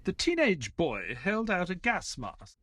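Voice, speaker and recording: A man plays a gentlemanly doctor recounting a case in an audio drama. His voice sits fairly high and swoops widely, yet his phrasing stays formal and measured. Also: wildly swooping pitch, no room sound, full character voice. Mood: dramatic narration. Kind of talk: dramatic scripted storytelling in an audio play.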